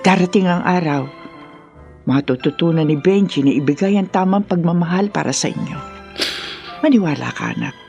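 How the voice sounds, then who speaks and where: A woman's voice: lowish voice, lively intonation, normal speed, creaky, a little mellow, lo-fi sound, soft background music. A woman playing a doting, protective grandmother in a radio drama. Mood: gentle, consoling.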